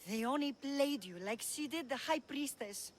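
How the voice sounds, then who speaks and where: A woman playing a frightened, pleading servant of a priestess in a video game, with a nervous, halting delivery. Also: high voice, a little hiss or hum, lightly acted. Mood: anxious. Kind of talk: video game cutscene acting.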